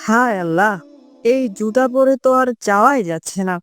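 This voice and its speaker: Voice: mid-range voice, normal speed, a bit bright, soft background music, close to an everyday voice. A performer voicing a poor, wistful, considerate schoolboy in a scripted cartoon. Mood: wistful, sad.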